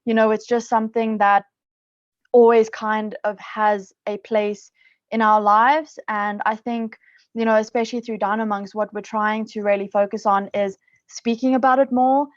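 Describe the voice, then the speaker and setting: An upbeat woman from South Africa chats as a podcast guest, filling her sentences with "you know" and "um." Her voice sits at an ordinary pitch, close and dry, with a slightly dull, lo-fi sound. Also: level tone, moderate pace, no room sound. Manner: chatty, upbeat, many fillers and restarts. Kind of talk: casual interview talk.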